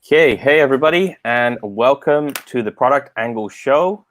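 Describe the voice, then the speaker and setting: A man's voice: medium pitch, animated, normal speed. A man hosting a live interview show, friendly, repeating words as he asks his questions. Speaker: show host.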